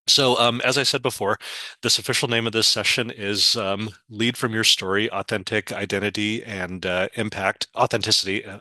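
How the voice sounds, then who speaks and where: A man's voice: on the low side, bright, forceful tone, dry recording. A man moderating a webinar session over a video call, rambling with many fillers and apologetic slips as he runs through housekeeping and introduces the speaker.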